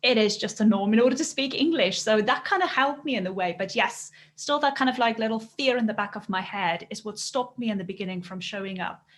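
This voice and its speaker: A woman's voice: on the high side, brisk, hardly any pauses. A woman from South Africa whose first language is Afrikaans, speaking English with a South African accent as a business coach guest in an earnest, casual interview chat.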